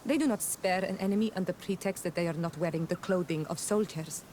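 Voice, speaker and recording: A woman's voice: fairly low pitch, a little fast, a little mellow, a little hiss or hum, natural voice. A woman playing a proud, fiercely protective young native clanswoman in a video game, blunt and accusing, with solemn diction. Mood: scornful, grave. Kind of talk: video game cutscene acting.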